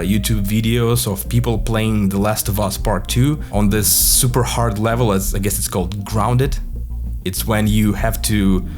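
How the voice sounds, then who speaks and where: Young Russian-accented male host, lowish voice, hesitant, wryly dark, guitar bed behind. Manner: halting, many "uh" fillers, wry dark humor. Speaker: podcast host, comedian. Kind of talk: reflective solo podcast monologue. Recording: music playing under the voice. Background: guitar music.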